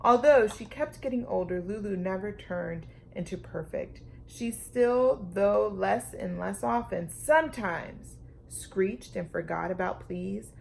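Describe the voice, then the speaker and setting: A woman storytime reader with a noticeably creaky voice at an average pitch. She reads a children's book expressively, placing deliberate, dramatic emphasis on phrases. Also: darkish tone, a little hiss or hum, rough recording.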